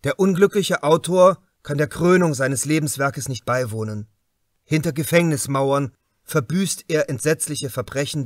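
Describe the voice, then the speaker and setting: A man's voice: on the high side, no room sound. Man reading an audiobook, dry close sound. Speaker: audiobook narrator. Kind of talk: scripted literary narration of a crime novel.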